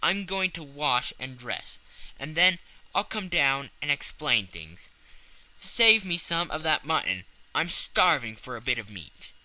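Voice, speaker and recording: Man voicing a weary, halting time traveller, raised pitch. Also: sing-song, sharp tone, full character voice, rough recording. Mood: weary, reassuring. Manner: halting, feeling his way among words. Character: weary, battered male time traveller returned from the future.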